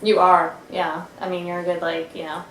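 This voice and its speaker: A woman's voice: fairly low pitch, flat delivery, normal speed, reverberant, rough recording. A woman in her twenties hosting a casual podcast from her family's living room, teasing her guest with lots of fillers. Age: 20s. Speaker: podcast host. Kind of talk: casual chat. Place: home living room by an electric fireplace.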